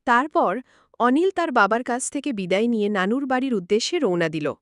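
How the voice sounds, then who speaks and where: Woman telling a kids cartoon story, smooth clear voice, a bit high, dry booth. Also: lively intonation, fairly quick, no echo. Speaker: narrator. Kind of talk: storybook narration.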